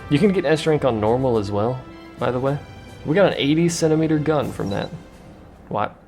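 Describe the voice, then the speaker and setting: A man's voice: medium pitch, expressive, brisk, darkish tone, faint music behind. A man giving casual, rambling gameplay commentary with a joking, self-mocking manner. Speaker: let's play commentator.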